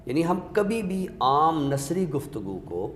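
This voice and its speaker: Echoey Pakistani man, scholarly and deliberate. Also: fairly high pitch, very animated, fairly bright tone. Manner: scholarly, deliberate, explains by question and example. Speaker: literary expert on a talk show. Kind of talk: explanatory literary discussion. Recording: echoey hall reverb with background noise.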